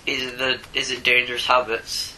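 Very bright British man, faint noise. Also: mid-range voice, little pitch movement, a little fast, poor audio. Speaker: podcast co-host. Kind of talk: casual chat.

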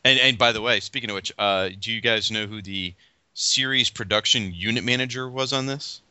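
A man hosts a casual podcast panel, his low voice bright and cutting. He quizzes his guests eagerly. The audio is dry and dull, with a lo-fi edge. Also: brisk, smooth, dry recording. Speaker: podcast host.

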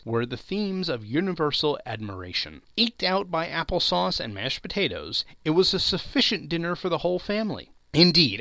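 A man narrating classic literature as a dramatic reading, theatrical, emphatic and expressive. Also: a little high, no room sound. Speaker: podcast host and narrator.

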